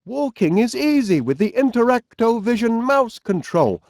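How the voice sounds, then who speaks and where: A man's voice: high voice, a little mellow, no room sound, studio quality. A man voicing a cheerful, fussy middle-aged inventor with a Northern English accent in a video game, genial and bumbling. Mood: chirpy, explaining. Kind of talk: animated video game character voice lines.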